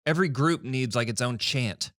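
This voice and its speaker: A man's voice: medium pitch, moderate pace, a bit bright, no echo, clean studio sound. A man in his thirties hosting a casual church podcast, playful and jokey, laughing often. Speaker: podcast host.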